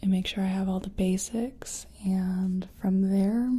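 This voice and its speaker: Female ASMR makeup-artist role: very breathy whisper, unhurried pace, gentle murmured personal attention. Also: mid-range voice, steady tone. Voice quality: breathy, mostly unvoiced whisper. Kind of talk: soft-spoken whispered ASMR roleplay.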